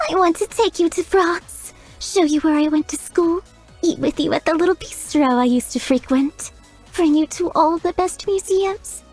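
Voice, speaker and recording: Giggly flirty maid. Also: female voice, extremely high pitch, a little slow, darkish tone, soft background music. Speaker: chambermaid character. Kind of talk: video game voice lines.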